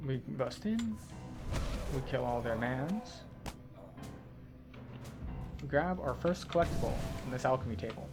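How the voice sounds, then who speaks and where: A man's voice: mid-range voice, very animated, unhurried, pauses often, over music. A man giving video game walkthrough commentary as a tour guide, instructional and matter-of-fact.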